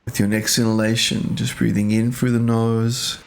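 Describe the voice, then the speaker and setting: A man leading a guided meditation as a teacher, speaking gently and unhurriedly in short phrases with pauses. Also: mid-range voice, flat delivery, gravelly.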